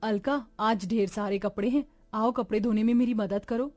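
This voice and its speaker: A young daughter-in-law in a family cartoon, voiced by a woman, high in pitch. She is sharp-tongued and indignant, scolding her way through domestic feuds with plenty of melodrama. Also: normal speed, soft background music, natural voice. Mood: insistent. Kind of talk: cartoon family drama dialogue.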